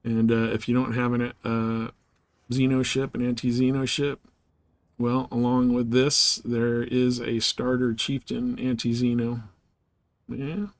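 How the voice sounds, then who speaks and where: A man's voice: mid-range voice, flat delivery, unhurried, dry recording. A man chatting casually and informally as he hosts a live game stream, rambling in slangy language.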